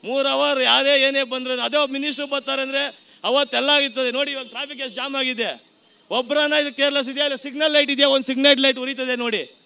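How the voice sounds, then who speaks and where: Very high-pitched, creaky aggrieved man. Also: fairly even intonation, fast talker, sharp tone. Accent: Bengaluru Kannada. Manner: aggrieved, emphatic, colloquial with English words. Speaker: local resident giving opinion. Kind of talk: street vox pop complaint.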